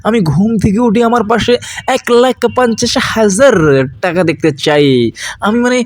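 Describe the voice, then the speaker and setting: A man voicing a spoiled, scheming only son in a comedy cartoon, talking haltingly with affected English catchphrases. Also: high voice, lively intonation. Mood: smug. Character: spoiled, scheming only son demanding gifts from his parents.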